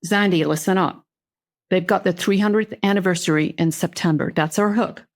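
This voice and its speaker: A woman voicing a gruff, dismissive male news boss with a Southern US accent in a dramatic book reading. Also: on the low side, moderate pace, lightly acted. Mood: brusque, commanding.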